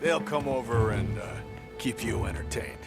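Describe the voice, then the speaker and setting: An actor playing a casual 1930s gangster in a hotel bar, in a video game. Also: male voice, medium pitch, normal speed, vocal fry, loud music behind the voice, close to an everyday voice. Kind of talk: cinematic video game dialogue.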